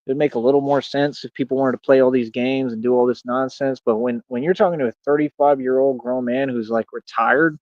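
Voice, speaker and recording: A man's voice: mid-range voice, steady tone, fairly quick, hardly any pauses, darkish tone, no echo. Boastful man in his thirties, dull lo-fi audio. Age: 30s. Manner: slangy, peppered with "man" and "bro"; boastful, defiant. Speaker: streamer. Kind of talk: casual rambling livestream monologue.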